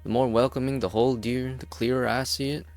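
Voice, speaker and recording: An actor playing a calm, hard-bitten, defiant man with folksy phrasing in an amateur game fan dub. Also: medium pitch, a little fast, soft background music, natural voice. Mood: cold, defiant.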